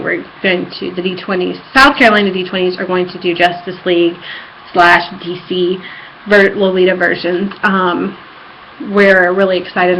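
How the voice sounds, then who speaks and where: A woman chatting casually in a rambling personal vlog recorded at home, with a giddy fangirl enthusiasm. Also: fairly low pitch. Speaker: vlogger. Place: apartment room. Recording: dull lo-fi home recording, background noise.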